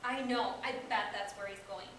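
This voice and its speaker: A woman's voice: mid-range voice, fairly even intonation, moderate pace, close to an everyday voice. A young American woman playing an eager, nosy school-age classmate in amateur reader's theater. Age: young adult. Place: echoey indoor room. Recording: echoey room, distant microphone, background hiss.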